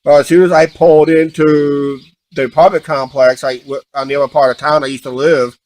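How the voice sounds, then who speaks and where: A man telling stories casually and unscripted, halting and often repeating himself as he restarts sentences. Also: fairly high pitch, flat delivery, darkish tone, no echo. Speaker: vlog co-host.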